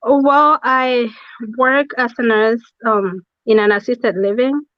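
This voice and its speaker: A woman's voice: on the high side, fairly even intonation, slow pace, smooth, no echo. A woman speaking as an interview guest over a video call, brief and a little hesitant. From Kenya. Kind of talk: casual interview. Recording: dry, dull lo-fi sound.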